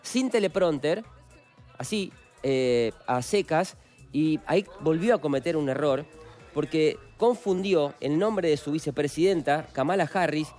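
A man's voice: a little high, lively intonation, measured pace, a little mellow, soft background music. A man with an Argentine Spanish accent narrating news footage in a conversational way, with frequent fillers. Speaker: news presenter. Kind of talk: news commentary over footage.

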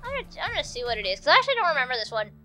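Woman, high bright voice, a bit congested, rambling casual let's play chatter, faint game music behind. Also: huge pitch swings, racing. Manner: rambling, self-chatting, giggly. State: congested from allergies. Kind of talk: casual gameplay commentary.

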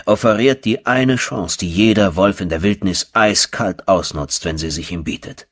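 A man's voice: deep voice, breathy edge. A man narrating a first-person horror audiobook in a dramatic, brooding and theatrical way.

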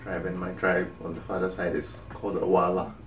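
A man's voice: low voice, completely flat delivery, a little fast. A young man with a Ghanaian accent, explaining things casually as an interview guest. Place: small indoor room. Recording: roomy, distant mic, music underneath.

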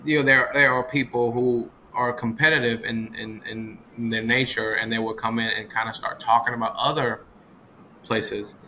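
A man's voice: mid-range voice, fairly even intonation, normal speed, pushed and bright, rough recording. A man in his thirties from Vietnam, a chef talking casually as an interview guest, often checking in with the listener. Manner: repetitive, often ends points with "right?". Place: small room indoors, on a video call. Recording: video call with clear background noise.

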